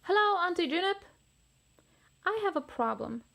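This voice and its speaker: A woman's voice: very high voice, animated, normal speed, vocal fry, fairly bright tone, voice pushed up, full character voice. A woman voicing a tiny young male T. rex, earnest and determined, in a storybook read-aloud. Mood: pleading.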